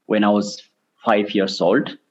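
Low-voiced Ethiopian man, muffled line. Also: flat delivery. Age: 30s. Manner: halting, with frequent pauses. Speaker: interview guest, charity director. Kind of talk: personal interview answers. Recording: dull lo-fi remote call.

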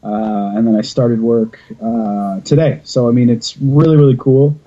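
A man's voice: medium pitch, fairly even intonation, unhurried, mellow, lo-fi sound. A man from Canada chatting casually and jokingly, rambling with fillers and restarts. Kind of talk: casual chat.

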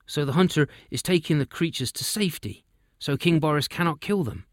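A man's voice: a little high, fairly quick, no echo, studio quality, pitched above the performer's own voice, close to an everyday voice. A man voicing a humble, out-of-breath messenger bringing urgent news in a story.

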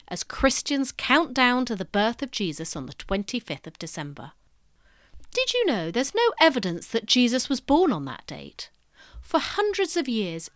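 A woman's voice: a little high, a bit bright, no room sound, studio quality. A woman presenting scripted educational narration in a storytelling manner, as if addressing the listener directly. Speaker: presenter of an educational history podcast.

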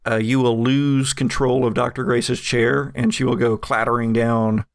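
A man narrating a tabletop game as game master, relaxed and chuckling, with many fillers. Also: mid-range voice, normal speed, dry recording, studio quality.

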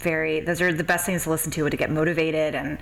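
A woman's voice: low voice, little pitch movement, fast, gravelly, a little hiss or hum, poor audio. A woman hosting a casual podcast interview in an agreeable, encouraging way. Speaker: podcast host.

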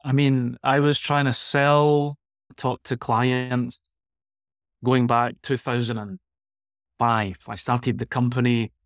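A man's voice: medium pitch, flat delivery, takes it slowly, no room sound, clean studio sound. A Scottish man from Glasgow, a guest expert in a conversational interview, speaking thoughtfully and often restarting his phrases. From Scotland.

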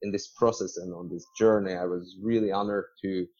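Male podcast guest, lowish pitch, measured conversational storyteller with hesitations, muffled lo-fi audio. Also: steady tone, darkish tone. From Hungary. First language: Hungarian.

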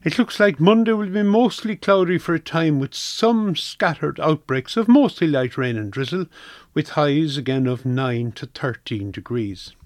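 A man's voice: a little high, expressive, unhurried, dry recording, studio quality. A man with an Irish accent reading a scripted weather forecast on community radio.

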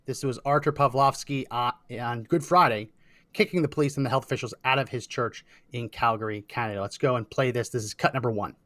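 Male show host, mid-pitched, casual banter, dry sound. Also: fairly quick, fairly bright tone, no room sound. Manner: laughs often, teasing.

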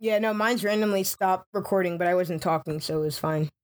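A woman co-hosting a podcast, chatting playfully with quick asides. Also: on the low side. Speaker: podcast co-host. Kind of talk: casual chat.

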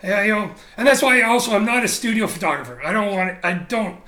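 A man being interviewed, talking casually and candidly about his career, animated and blunt with frequent restarts. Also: sits high, brisk, reverberant. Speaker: interview guest, photographer. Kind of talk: casual conversational storytelling.

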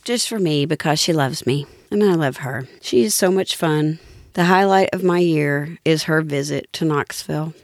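A woman's voice: low voice, moderate pace, no room sound, clean studio sound. A woman hosting a podcast in a casual, chatty style. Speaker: podcast host. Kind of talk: casual chat.

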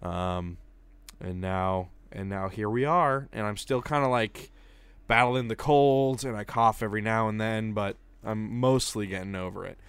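Hoarse young man, smooth dry voice. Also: on the low side, measured pace, dry recording. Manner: tells events step by step, plain and steady. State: recovering from a cold, hoarse. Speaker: podcast co-host. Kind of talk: casual chat.

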